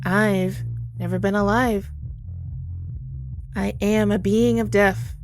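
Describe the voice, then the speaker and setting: A woman playing a gentle, sorrowful Grim Reaper in an ASMR audio roleplay, soft-spoken and tender as she reassures the listener. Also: mid-range voice, fairly even intonation, takes it slowly, over music. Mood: comforting, wistful. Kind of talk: ASMR audio roleplay, intimate comforting monologue.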